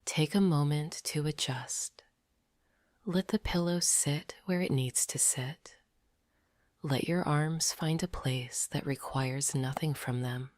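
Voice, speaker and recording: A woman narrating a scripted sleep meditation in a soft, breathy near-whisper, gentle and deliberate. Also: deep voice, no echo, studio quality.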